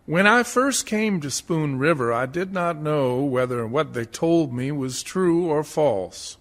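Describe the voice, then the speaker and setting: A man plays a rueful small-town stone carver in a radio verse drama. His voice is a little high for a man, and he speaks slowly, with quiet self-reproach. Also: lively intonation, heavily acted. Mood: rueful, reflective. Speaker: actor in a community theatre radio play.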